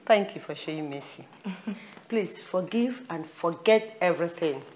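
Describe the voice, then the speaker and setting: A woman's voice: on the low side, expressive, unhurried, a little mellow, a little hiss or hum. A woman playing a mother who blesses her children. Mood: blessing.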